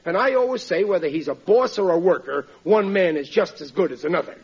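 High, husky blustering riveter. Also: male voice, expressive, brisk, heavily acted. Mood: boastful. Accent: American. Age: middle-aged. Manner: exclamatory, ungrammatical working-class speech. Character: blustering, gullible working-class riveter and family man. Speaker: comic lead actor. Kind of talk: classic American radio sitcom acting. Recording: muffled vintage radio recording.

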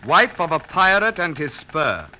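An actor playing a cold, terse, victorious naval lieutenant in a 1950s radio drama. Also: male voice, a little high, sing-song, a little slow, sharp tone, heavily acted. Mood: cold, stern. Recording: hissy old radio broadcast.

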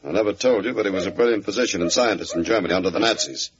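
A man's voice: deep voice, very fast, crowd noise, natural voice. Male, assured ruthless gang boss played by an actor, 1940s radio drama, dull vintage recording. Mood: confident, dismissive. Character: burly male crime boss, confident and ruthless. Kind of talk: 1940s radio serial acting.

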